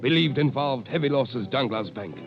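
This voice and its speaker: An actor in a 1930s radio drama playing a dutiful informant, reciting in a clipped, impersonal report style. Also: male voice, mid-range voice, lively intonation, moderate pace, lightly acted. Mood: coolly factual. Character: dutiful male informant coolly reciting crimes. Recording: muffled old radio broadcast, music behind.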